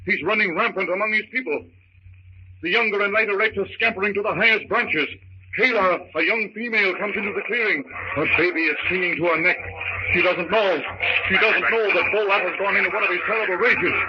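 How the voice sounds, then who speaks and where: A man narrating an adventure serial in an excited, dramatic 1930s radio style, like urgent play-by-play. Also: sits high. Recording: muffled, hissy 1930s radio recording.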